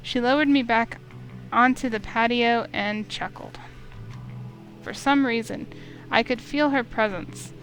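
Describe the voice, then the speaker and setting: A woman reading a visual novel aloud as an expressive, dramatic narrator. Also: on the high side, a little slow, low-quality recording. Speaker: let's play streamer. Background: music in the background.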